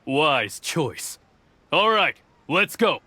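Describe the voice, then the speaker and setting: A man's voice: a little high, big pitch swings, very slow, pushed and bright, dry recording, exaggerated voice. An actor playing a smug, menacing officer in a video game, threatening and condescending as he speaks. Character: smug, menacing male officer enforcing a decree. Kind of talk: animated video game voice acting.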